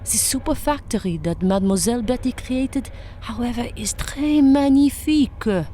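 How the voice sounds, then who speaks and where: A woman's voice: fairly high pitch, moderate pace, dark tone, slight background noise, natural voice. Haughty French female doctor for radio play, woman acting, grudging and snippy, faint ship ambience behind. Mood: grudgingly proud. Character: proud, snippy Frenchwoman doctor aboard a warship. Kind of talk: audio drama acting.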